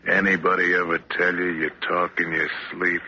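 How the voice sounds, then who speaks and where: Bullying male deckhand, lowish voice, curt threats and rough grammar, muffled vintage radio with heavy hiss. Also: level tone, moderate pace. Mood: sly, needling. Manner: curt, needling, threatening, rough uneducated speech. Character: menacing, bullying sailor, a suspicious deckhand. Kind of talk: 1940s radio drama acting. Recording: muffled, noisy old radio recording.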